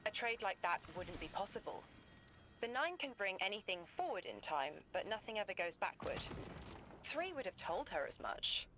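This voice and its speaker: An actress playing a solemn, haunted messenger in a video game drama, speaking in measured, cryptic sentences. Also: female voice, mid-range voice, very animated, noisy background, lightly acted. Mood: thoughtful.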